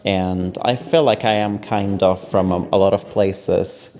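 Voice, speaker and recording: A man's voice: deep voice, fairly even intonation, a little slow, darkish tone. A young man from Panama co-hosting a student podcast, talking casually and hesitantly, with many ums. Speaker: podcast co-host. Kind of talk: casual chat.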